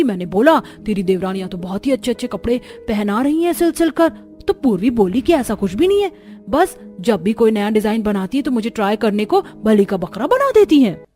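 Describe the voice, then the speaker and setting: A woman voicing characters in a cartoon family story with animated, emphatic delivery. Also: a little high, fairly quick, soft background music. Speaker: voice actor for several cartoon characters. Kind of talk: cartoon dialogue acting.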